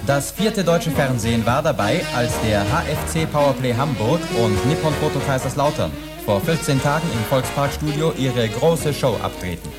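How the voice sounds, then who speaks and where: Man acting sports programme presenter, genial, formal, medium pitch, scripted, radio drama, loud music. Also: expressive, moderate pace, hardly any pauses, lightly acted. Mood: presentational.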